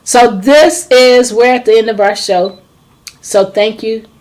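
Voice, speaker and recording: A middle-aged woman hosting a talk show who speaks as a trauma and forgiveness coach, talking slowly and hesitantly with many ums. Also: on the high side, darkish tone, rough recording. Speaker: host. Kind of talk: casual chat.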